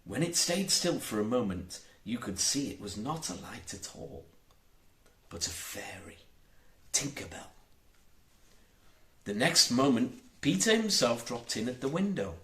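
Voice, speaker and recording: Expressive male storyteller in a roomy space. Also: medium pitch, measured pace, long pauses. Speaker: audiobook reader. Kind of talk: scripted storybook narration for children.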